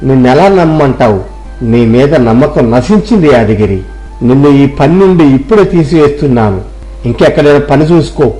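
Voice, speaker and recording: A man's voice: fairly high pitch, lively intonation, fairly quick, dark tone, faint music behind, close to an everyday voice, rough recording. A man voicing a stern village shop owner in a moral story, with an authoritative, weighty manner. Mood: grave, disappointed.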